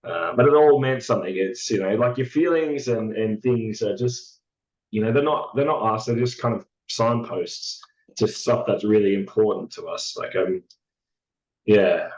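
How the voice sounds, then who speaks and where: A man with a hoarse, raspy voice, talking in a halting, reflective way as a podcast guest, full of fillers and restarts. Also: fairly low pitch, a little mellow, highs cut off. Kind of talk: casual reflective chat.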